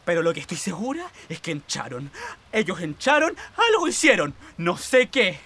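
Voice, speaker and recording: A woman playing an excitable, fearful housemaid from the south in a radio play, with a Chilean accent and a breathless, deferential, rambling delivery. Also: lowish voice, moderate pace, slightly rough, exaggerated voice. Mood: confused, anxious. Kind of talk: Spanish-language radio theatre acting.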